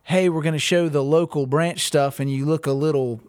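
A man's voice: fairly high pitch, fairly even intonation, normal speed, a little mellow, clear, resonant tone, no room sound, studio quality. A man in his thirties, hosting a casual podcast chat with jokes and many restarts. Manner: joking, talks through ideas with restarts. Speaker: podcast host.

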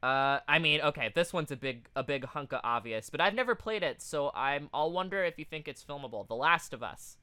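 Bright, clear-voiced man chatting on a podcast. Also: a little high, expressive, fairly quick, hardly any pauses, dry recording. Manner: blunt, casually profane. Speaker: podcast co-host. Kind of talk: casual chat, reading out a list with quick opinions.

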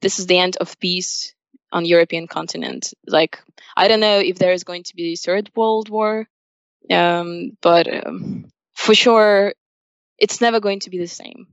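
Creaky-voiced young Ukrainian woman, lowish pitch. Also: steady tone, unhurried, no room sound, lo-fi sound. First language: Russian. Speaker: interview guest. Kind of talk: spoken interview answers, personal opinion.

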